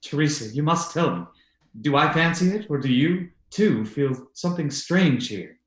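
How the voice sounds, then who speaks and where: A man's voice: fairly high pitch, lively intonation, fairly bright tone. A man playing a reasonable but uneasy husband who denies the supernatural, speaking formally in old-time radio drama style. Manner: formal, measured, halting when uneasy. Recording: roomy, echoey voice recording.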